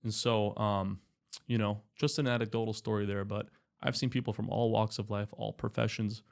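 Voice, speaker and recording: A man in his thirties, a doctor and podcast guest, explaining his work in a relaxed, chatty way with many fillers. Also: lowish voice, normal speed, creaky. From Spain, raised in California. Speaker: anesthesiologist guest. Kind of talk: casual interview talk.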